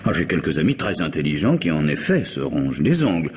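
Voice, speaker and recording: A low-voiced, formal, indignant professional. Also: male voice, sing-song, a little fast. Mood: wary, curious. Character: busy, formal professional man, indignant yet warily curious. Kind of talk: French radio mystery drama acting. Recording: noisy old radio drama recording.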